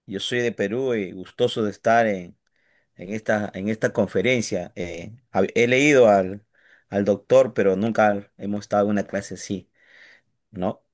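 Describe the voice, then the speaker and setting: A pastor with a Peruvian accent introduces himself with halting restarts. His voice sits low. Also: fairly even intonation. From Peru. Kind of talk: casual self-introduction.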